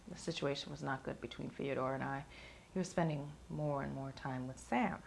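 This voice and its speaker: A woman's voice: low-pitched, creaky, a bit bright, a little hiss or hum. A woman playing a weary, defensive woman answering questions in a blunt, dismissive, conversational way. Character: defensive, weary woman under questioning about failed relationship.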